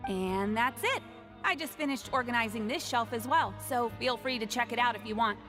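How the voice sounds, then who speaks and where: Actress as gentle, helpful bookish woman in a game: fairly high voice, music clearly behind. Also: moderate pace, close to an everyday voice. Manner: polite, helpful. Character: polite, gentle female bookish society member. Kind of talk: video game voice acting.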